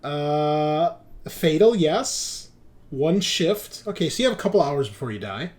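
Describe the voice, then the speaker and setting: A man running a tabletop roleplaying game as game master, narrating action casually with animated, drawn-out dramatic emphasis. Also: fairly high pitch. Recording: music behind the voice.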